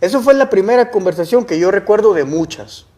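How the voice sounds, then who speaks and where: Young man, guest, earnest storyteller, raised pitch. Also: animated, a little fast, a little mellow. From El Salvador. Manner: earnest, explains with rhetorical questions. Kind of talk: casual interview storytelling.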